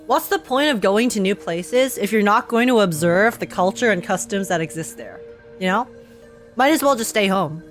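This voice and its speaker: A woman's voice: mid-range voice, moderate pace. Young woman streamer gushing n rambling over game, faint music under her. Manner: excitable, rambling, theorizing aloud. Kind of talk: casual reaction commentary.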